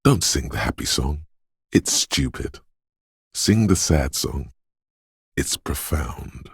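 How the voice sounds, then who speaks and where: A man voicing a brooding, dreamlike inner voice of intuition in a video game, speaking in a slow, hushed, portentous and theatrical way. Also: animated, no room sound, studio quality, exaggerated voice. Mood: brooding, insistent. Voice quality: very deep, husky, gravelly whisper-edged rumble. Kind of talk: dramatic video game voice acting. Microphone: close, dry studio microphone.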